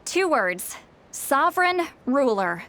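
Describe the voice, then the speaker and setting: A woman's voice: sits high, big pitch swings, takes it slowly, a bit bright, a little hiss or hum, full character voice. A woman voicing a knowledgeable character who explains ancient lore in a video game, in an explanatory, matter-of-fact way. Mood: explaining.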